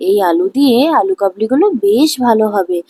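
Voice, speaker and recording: A woman voicing an honest, sensible, supportive wife in a cartoon story, with an expressive delivery. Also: on the high side, fairly quick, lightly acted. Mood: caring. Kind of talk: Bengali cartoon story voice acting.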